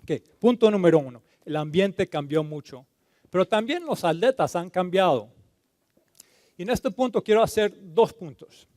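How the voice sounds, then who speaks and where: A man from Colombia giving a conference talk, halting and repeating words, slipping into terms now and then. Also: high-pitched, animated, a little mellow, no room sound, clean studio sound. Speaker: conference speaker. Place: conference hall stage.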